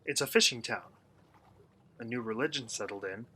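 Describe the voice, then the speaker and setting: A man plays a young outsider in a radio drama, earnest but guarded. His voice is bright and forward, his delivery measured and hesitant, with faint noise behind it. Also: mid-range voice, lightly acted. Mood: cautious. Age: young adult. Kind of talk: radio play acting.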